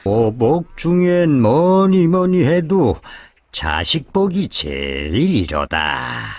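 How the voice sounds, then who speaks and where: An actor playing an old scholar father who is proud and fond of feasting, speaking slowly and drawing out sighing interjections. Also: medium pitch, no room sound, exaggerated voice. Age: older. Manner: slow, drawn-out speech with sighing interjections.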